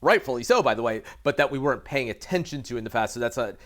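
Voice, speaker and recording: American man, halting expert explainer, medium pitch. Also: animated, a bit bright. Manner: halting, repeats words, hedges and qualifies as he explains. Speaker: expert guest, aviation reporter. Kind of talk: conversational interview analysis.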